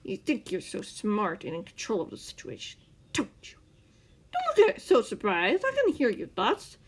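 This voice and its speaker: A gruff, short-tempered captor man who snaps and growls at full volume, as a woman reads him. His pitch jumps around with his temper in this storybook reading. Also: huge pitch swings, normal speed. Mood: menacing. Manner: snapping, growling and loud.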